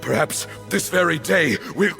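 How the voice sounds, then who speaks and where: An actor playing an ailing old temple leader in a video game, grandiose and fervent, with a gravelly, cough-prone voice. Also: male voice, high voice, expressive, slow, a bit bright, faint music behind, full character voice. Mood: fervent, triumphant. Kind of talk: dramatic video game acting.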